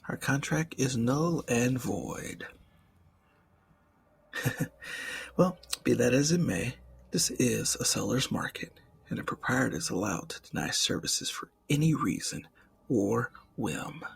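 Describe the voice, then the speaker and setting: A man voicing a suave, mocking, predatory demon in an ASMR roleplay, speaking formally and eloquently and often dropping into a breathy whisper. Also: medium pitch, lively intonation, slow pace, pauses often, heavily acted. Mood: smug.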